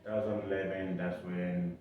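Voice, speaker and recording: A young man raised in Uganda, answering interview questions in a halting way with many pauses and restarts, recorded from a distant mic in an echoey room. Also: deep voice, little pitch movement, slight background noise. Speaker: interview guest. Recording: echoey, distant, dull sound.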